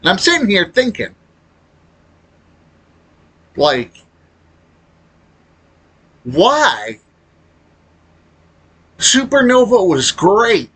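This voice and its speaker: A man giving casual, unscripted opinion commentary as a gaming video creator, halting as he talks and blunt in his complaints. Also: sits high, very animated, fairly bright tone, slightly rough.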